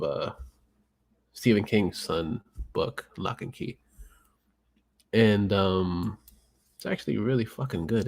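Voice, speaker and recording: A man hosting a casual podcast, talking loosely with lots of fillers and swearing. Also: low-pitched. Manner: loose, profane, filler-heavy. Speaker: podcast host.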